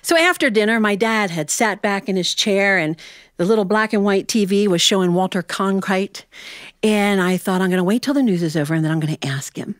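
A woman's voice: medium pitch, a little fast, dry recording, studio quality. A middle-aged American woman, a storyteller telling a personal tale live to an audience. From Ohio, United States.

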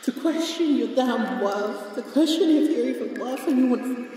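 A woman's voice: high-pitched, animated, warm tone. A wounded, bitter woman played by a voice actress in an emotional audio drama. Her tearful voice breaks as she drags out pleading accusations, a little way from the mic in a roomy space. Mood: anguished, accusing. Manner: drawn-out, pleading outbursts. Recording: roomy, distant mic, faint noise.

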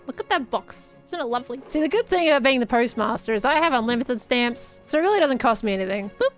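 A slightly hoarse woman with an Australian accent, chatting casually through a Minecraft let's-play. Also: fairly high pitch, lively intonation, fast, faint music behind. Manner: chatty, bubbly, cooing over things. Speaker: Minecraft YouTuber.

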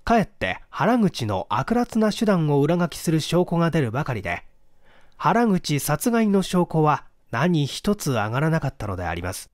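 Male audiobook narrator, higher-pitched and animated, formal delivery, dry close sound. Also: moderate pace, dry recording. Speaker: audiobook reader. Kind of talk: scripted literary narration of a detective story.

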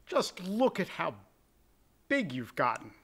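An earnest soldier home from the war speaks in a 1940s radio drama. He takes his time, in a somewhat high male voice, affectionate yet exasperated with his brother. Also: wildly swooping pitch, slow pace, heavy background noise, exaggerated voice. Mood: warm. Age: middle-aged.

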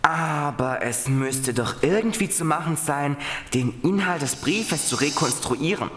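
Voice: mid-range voice, lively intonation, a little slow, reverberant, background noise, close to an everyday voice. An actor playing a confident, reassuring young detective who leads the group in a children's radio play. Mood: thoughtful.

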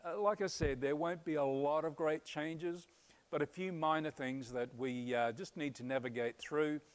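A man in his fifties with an Australian accent, a pastor giving earnest, upbeat church announcements on a stage, pausing often on "uh", with a lapel mic. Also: fairly high pitch, fairly even intonation, smooth. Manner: earnest, upbeat, many "uh" fillers. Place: church stage before a curtain.

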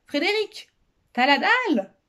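A woman's voice: very high voice, sing-song, measured pace, pushed and bright, voice pushed up, full character voice. A woman voicing a brash, mischievous young storybook girl with a slangy, boisterous manner. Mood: bawling, gleeful. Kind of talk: storybook character voice.

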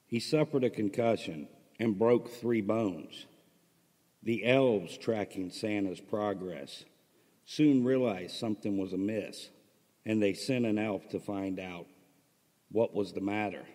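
A man reads a story aloud in a fairly low voice, with long reverb trailing his words. He sounds like a host narrating his own writing. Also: slow pace, mellow. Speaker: host reading own stories. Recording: long reverberant hall-like echo.

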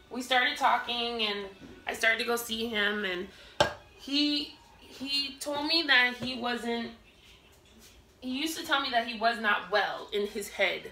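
A woman's voice: fairly high pitch, vocal fry, pushed and bright, slight background noise. A woman in her thirties chatting casually while she cooks at home, rambling with lots of fillers and restarts. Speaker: cooking vlogger. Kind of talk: casual storytelling while cooking. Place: home kitchen, indoors. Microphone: camera set across the room. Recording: roomy kitchen, distant camera, pan clatter.

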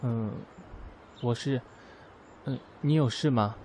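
A man's voice: mid-range voice, takes it slowly, a little mellow, slight background noise, natural voice, low-quality recording. An actor playing a proud, hurt young man in an audio drama, with a curt, emotional delivery. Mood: puzzled. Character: proud, hurt young man, prickly toward his lover. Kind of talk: audio drama acting in Mandarin.

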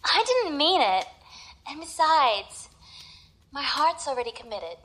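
A woman acts a sweet young maiden in an audio drama. Her voice is extremely high and bright, earnest and exclamatory, with unhurried, swooping delivery. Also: big pitch swings, heavily acted. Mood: earnest, apologetic.

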